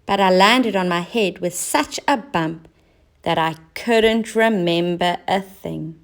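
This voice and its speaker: A woman's voice: on the low side, slow pace. A woman voicing an emotional, forgetful male clown in a children's storybook, plaintive and exclamatory. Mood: excited, relieved.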